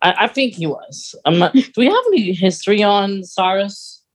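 A podcast co-host chatting casually and recapping a story in a halting, rambling way. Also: mid-range voice, expressive.

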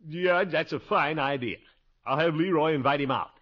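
An actor playing a blustering, hopeful middle-aged uncle who flusters easily, in a 1950s radio comedy. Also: male voice, moderate pace, lightly acted. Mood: hopeful, hearty. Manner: fussy, exclaiming, swings from booming to muttering. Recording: muffled 1950s radio recording.

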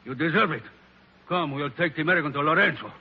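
High-pitched gruff bandit henchman. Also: fast. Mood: harsh, excited. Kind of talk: 1940s radio acting. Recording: muffled, noisy 1940s radio recording.

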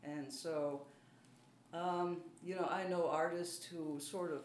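A woman's voice: on the low side, steady tone, takes it slowly, darkish tone. A woman from Belgium, with a Belgian accent, speaks casually as an interviewee. She is self-deprecating and often feels her way through a thought. The room sounds echoey, with a little background noise. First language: French, German and Dutch. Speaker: artist being interviewed. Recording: roomy, faint background noise.

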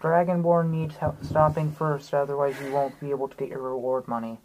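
A woman's voice: low voice, little pitch movement, fairly quick, mellow, poor audio. A woman playing a tabletop roleplaying game, talking casually in and out of character, with some noise behind.

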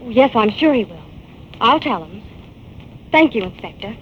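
A woman's voice: a little high, big pitch swings, moderate pace. An actress playing a loyal, practical young woman assistant in a 1940s radio drama. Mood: concerned. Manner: plain, supportive questions. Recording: dull old radio transcription with faint hiss.

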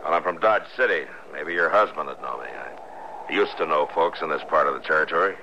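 Casting: plainspoken American frontier lawman, low register, terse authority, old radio western with dulled, hissy sound. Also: expressive, a little fast, sharp tone, exaggerated voice. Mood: calm, firm. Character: laconic, commanding U.S. Marshal of the Old West. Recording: muffled, noisy vintage radio recording.

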